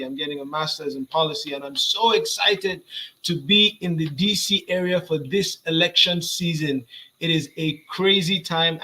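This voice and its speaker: A young man giving an urging, energetic rallying speech on a video call. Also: on the high side, slight background noise, low-quality recording. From Nigeria. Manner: energetic, urging, repeats points. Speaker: guest speaker.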